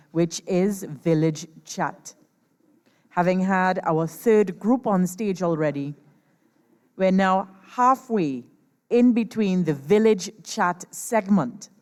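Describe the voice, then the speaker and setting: A woman hosting a stage competition, announcing formally and deliberately through an echoey PA. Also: on the low side, darkish tone. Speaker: host, master of ceremonies at a competition. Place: large performance venue, over a PA system. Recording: echoey hall PA, long reverb.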